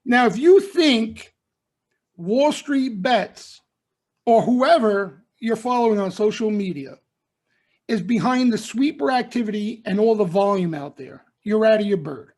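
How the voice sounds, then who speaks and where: A man's voice: high-pitched, lively intonation, unhurried, darkish tone. Blunt man checking "okay?" after every point. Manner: emphatic, punctuates nearly every point with "okay?" and "right?"; blunt street-wise slang. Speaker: trading room host. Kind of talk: live market commentary broadcast.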